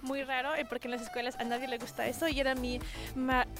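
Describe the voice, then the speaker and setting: A woman's voice: sits high, level tone, fast talker, over music. A young woman, an illustrator answering interview questions in a rambling way with many restarts. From Mexico and the UK. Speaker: illustrator guest.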